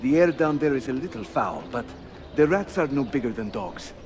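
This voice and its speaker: A man's voice: medium pitch, expressive, moderate pace, noisy background, lightly acted. Actor as a genial, teasing Ottoman assassin. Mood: easygoing, teasing. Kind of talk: cinematic video game acting. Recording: music behind the voice.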